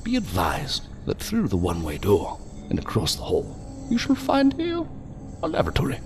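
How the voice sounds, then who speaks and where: An actor playing a condescending, ornately courteous ambassador in a science fiction audio drama, with deliberate, mocking phrasing. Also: male voice, on the low side, huge pitch swings, soft background music. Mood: commanding, formal. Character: theatrical, silky, condescending ambassador of a secret power.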